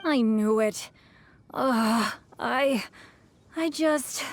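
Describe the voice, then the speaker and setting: A woman's voice: fairly high pitch, drawn-out words, slight background noise. A woman voicing a sensitive, emotional young adventurer in an anime-style video game. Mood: self-blaming.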